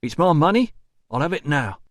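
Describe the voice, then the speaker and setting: A male narrator voicing a gruff, blunt and determined kitchen worker in an audiobook story. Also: on the high side, big pitch swings, normal speed, no echo, studio quality, pitched above the performer's own voice. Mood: demanding. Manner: blunt, forceful.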